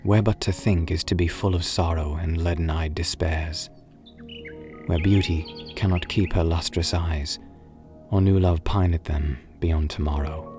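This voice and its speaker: Deep, slow-voiced man reading poetry. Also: steady tone. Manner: solemn, measured, wistful delivery with drawn-out phrases. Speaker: poetry reader and narrator. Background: music and birdsong.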